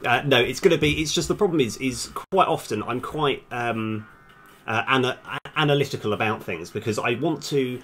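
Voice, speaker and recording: A man's voice: mid-range voice, normal speed, a bit bright, a little hiss or hum. A man thinking aloud in a casual, hesitant, self-deprecating way while live streaming as a game developer.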